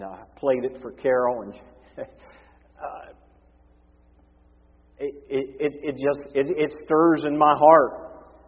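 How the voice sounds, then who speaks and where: A male pastor preaches a sermon with his voice going hoarse and husky. He shifts between forceful emphasis and hushed, quiet passages. The sound is dull and lo-fi, with a long reverb from the sanctuary around him. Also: medium pitch.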